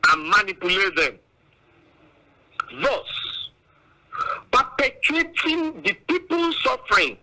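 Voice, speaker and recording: A man with a Nigerian accent speaks slowly and emphatically as a political analyst. His voice is high and piercingly bright, with big swings in pitch. He sounds oratorical, leaning on repeated phrases. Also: rough recording. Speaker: studio guest analyst. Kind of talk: political commentary in an interview.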